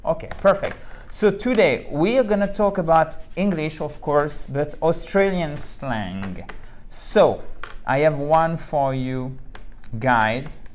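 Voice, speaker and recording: A man's voice: fairly high pitch, animated, slow, a little hiss or hum. A man whose first language is French, hosting an upbeat, playful English lesson and switching to French to explain words. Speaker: English teacher, host. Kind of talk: bilingual English lesson chat.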